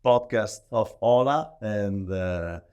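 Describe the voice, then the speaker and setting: A man with an Italian accent and a fairly low voice talks as a podcast guest. His English is non-native and a bit halting, with lots of "like" as he pieces his answers together. The style is conversational. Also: a little mellow, smooth, highs cut off. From Italy. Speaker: podcast guest, professional athlete.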